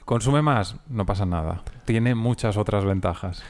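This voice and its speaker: A man's voice: fairly low pitch, unhurried, a little mellow. A man co-hosting a podcast, explaining things in a self-assured, easygoing way during casual chat. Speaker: podcast co-host, engineer.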